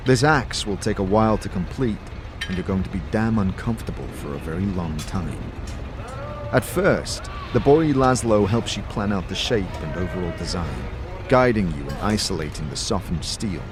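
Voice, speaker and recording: A man reading scripted fantasy narration in a deliberate, theatrical way. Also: sits low, animated. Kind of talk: dramatic scripted second-person fantasy narration. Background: music.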